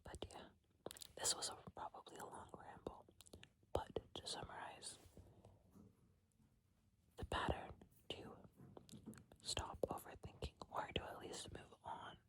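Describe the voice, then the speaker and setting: Woman whispering a rambling ASMR chat. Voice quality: breathy, unvoiced whisper. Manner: informal, rambling, halting phrasing.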